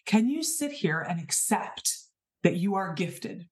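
A woman's voice: mid-range voice, animated, slow pace. A woman in her thirties hosting a podcast, giving an earnest, emphatic spiritual talk full of rhetorical questions. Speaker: podcast host. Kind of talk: inspirational spiritual monologue.

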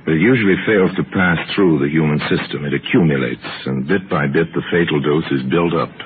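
Extremely deep male radio mystery narrator. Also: a little hiss or hum. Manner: measured, storytelling. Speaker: narrator and host. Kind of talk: scripted old-time radio mystery narration. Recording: tinny old radio broadcast.

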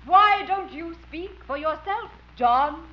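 Coy flirt, very high, cooing. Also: female voice, lively intonation, slow, exaggerated voice. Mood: gushing. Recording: muffled 1950s radio recording.

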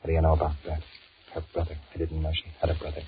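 Cowardly, blustering middle-aged executive, very low voice, anxious stammer and muttered thoughts, old-time radio horror, dull noisy recording. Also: male voice, completely flat delivery, rapid-fire. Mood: nervous, plotting. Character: panicky married businessman, blustering and cowardly, secretly scheming. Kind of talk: 1940s radio horror acting with inner monologue.

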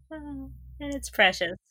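Lively, bright-voiced woman in casual chat. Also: sits high, no echo. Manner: giggly, reactive, expressive. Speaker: podcast co-host.

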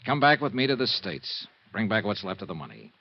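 A wry hard-boiled investigator. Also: male voice, fairly low pitch, huge pitch swings, fairly quick, vocal fry, husky, heavily acted. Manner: dry, wisecracking. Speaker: lead and narrator. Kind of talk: 1950s radio drama acting and narration. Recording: dull old radio broadcast.

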